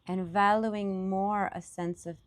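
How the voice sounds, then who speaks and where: A woman's voice: medium pitch, flat delivery, takes it slowly. Woman guiding a meditation. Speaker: meditation teacher. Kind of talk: guided meditation talk, spoken off the cuff.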